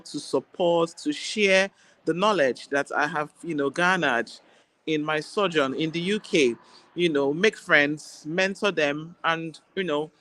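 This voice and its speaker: A woman with a Nigerian accent, an Igbo speaker, talking as a guest doctor in a relaxed interview, chatty and quick to laugh. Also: sits low. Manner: warm, chatty, laughs easily. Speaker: guest, general practitioner. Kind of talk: casual interview chat.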